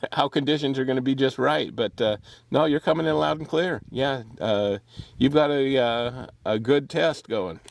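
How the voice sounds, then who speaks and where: A man's voice: mid-range voice, moderate pace, gravelly, no room sound. Laid-back man calling out on CB radio. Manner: relaxed, hesitant, lots of uh's. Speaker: CB radio operator. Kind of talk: CB radio calls and chat. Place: in a Jeep out in the desert.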